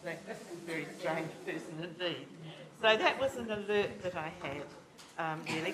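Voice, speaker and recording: A woman responding to a lecture as discussant, talking loudly and enthusiastically in a lecture theatre. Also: on the low side, slight background noise. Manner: warm, enthusiastic, loud voice. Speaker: seminar discussant. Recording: echoey lecture hall.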